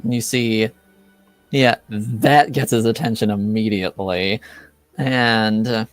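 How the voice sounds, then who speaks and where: Casting: male game master, clear smooth voice, slow and halting improvised narration of a fantasy tabletop scene. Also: lowish voice, fairly even intonation, no echo, faint music behind. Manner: halting, searching for words.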